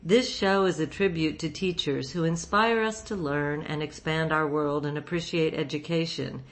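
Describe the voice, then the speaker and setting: A woman radio host reading a scripted introduction in a clear, deliberate presenting manner. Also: low voice.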